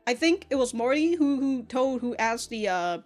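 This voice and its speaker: A woman giving casual, off-the-cuff reaction commentary as a review host, rambling, slangy and animated, with some swearing. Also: high-pitched, moderate pace, soft background music.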